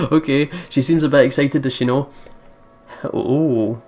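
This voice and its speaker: A man's voice: medium pitch, slow, darkish tone. A man reading a visual novel aloud and reacting in a playful, chatty way, often repeating words. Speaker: let's player reading and reacting. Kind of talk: playful let's play commentary and read-aloud narration. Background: game music.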